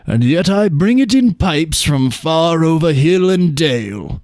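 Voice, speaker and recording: A man performs a blustery old storybook king full of grief. The voice is pushed high and stretches words out slowly in theatrical complaint, in a dry, close recording. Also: very animated, a little mellow, no room sound. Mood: vexed. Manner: plaintive, theatrical, drawn-out complaining. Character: grieving, blustery old king with failing eyes. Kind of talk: folk tale character voice.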